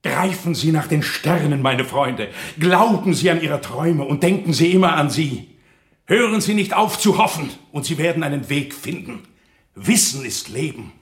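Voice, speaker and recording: A fervent male professor, played by an actor in a radio drama, declaims like a visionary to his students. The voice sits fairly high and moves dramatically, solemn and rousing in an echoing room. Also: animated, moderate pace, natural voice. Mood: rousing, inspired. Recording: echoing hall acoustic.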